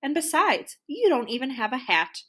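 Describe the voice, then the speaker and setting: A brash jazz cat bandleader played by a woman in a children's storybook. It booms out blunt, emphatic lines in a raised, very animated voice. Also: moderate pace, breathy edge, heavily acted. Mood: brash, dismissive. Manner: booming, emphatic. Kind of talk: children's storybook read-aloud.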